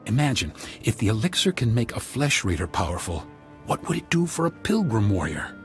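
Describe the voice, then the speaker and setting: An actor playing a scheming, scornful guard captain in a video game, insistent and persuasive. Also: male voice, lowish voice, sing-song, fairly quick, soft background music. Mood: zealous persuasion.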